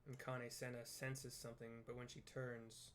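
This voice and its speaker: A man's voice: mid-range voice, completely flat delivery, moderate pace, a little hiss or hum. A man improvising plain audio description of video game cutscenes, hesitant and correcting himself as he goes.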